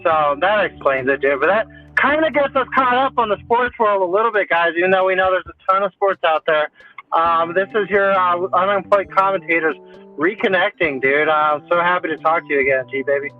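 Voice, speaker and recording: Phone-line man with higher-pitched casual voice. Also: fairly quick. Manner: slangy, bro-ish, repeats phrases. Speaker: podcast host. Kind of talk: casual sports banter. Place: remote phone call. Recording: tinny phone line, faint music.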